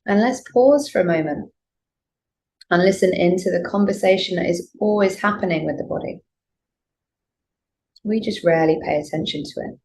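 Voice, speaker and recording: A woman teaching yoga nidra, leading a guided meditation in a gentle, soothing, unhurried way with pauses. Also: lowish voice, low-quality recording. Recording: clear background noise, room ambience.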